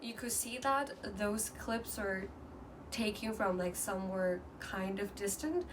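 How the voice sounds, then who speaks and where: A woman's voice: medium pitch, music in the background. Distant woman, earnest vlogger. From mainland China. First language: Mandarin. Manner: earnest, halting, with frequent restarts. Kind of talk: casual personal vlog. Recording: distant mic with clear background noise.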